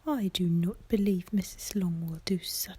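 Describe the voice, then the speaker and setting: A woman reading an audiobook in soft ASMR style, in a hushed, breathy whisper with a gentle delivery. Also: fairly low pitch, normal speed. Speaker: audiobook reader.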